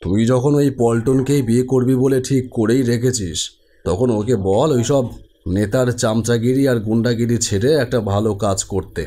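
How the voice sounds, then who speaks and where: A man playing a patient middle-aged father, reasoning in a measured way in a cartoon. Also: mid-range voice, dark tone, lightly acted. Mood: gentle, firm. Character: patient, gently reasoning father of a grown daughter. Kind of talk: animated horror cartoon dialogue.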